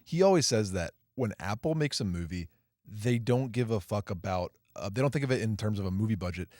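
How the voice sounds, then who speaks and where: A man's voice: on the low side, expressive, a little fast, a little mellow, no room sound, clean studio sound. A man co-hosting a movie podcast, talking casually about the film business. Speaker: podcast co-host. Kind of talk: casual movie industry chat.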